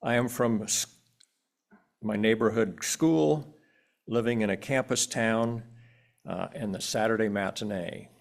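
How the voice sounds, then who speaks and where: Man reading a poem, slow pace, gravelly voice, plain delivery, church pulpit. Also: fairly low pitch. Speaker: congregant reading his poem. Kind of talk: reading a personal poem aloud. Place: church sanctuary.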